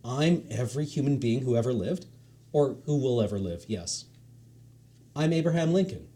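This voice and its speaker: A man's voice: mid-range voice, animated, normal speed, dark tone, lightly acted. A man reading the lines of a casual, patient male God who talks like a teacher. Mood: offhand. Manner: breezy and offhand, teacherly.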